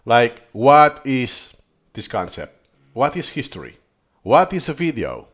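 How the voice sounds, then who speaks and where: A man whose first language is Catalan, thinking aloud as he comments on a video, digressing and correcting himself as he goes. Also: mid-range voice. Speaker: video host reviewing footage.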